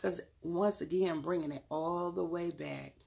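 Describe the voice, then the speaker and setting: Gravelly Southern woman on a livestream. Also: lowish voice, fairly even intonation, a little slow, a little mellow. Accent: Southern US, North Carolina. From Charlotte, North Carolina. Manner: emphatic, motivational, blunt with casual cussing. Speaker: livestream host and tarot reader. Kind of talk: casual livestream talk, answering chat messages.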